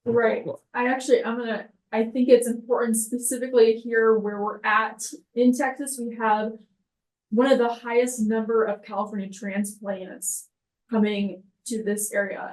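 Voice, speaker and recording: Woman, podcast co-host, conversational, echoey room. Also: mid-range voice.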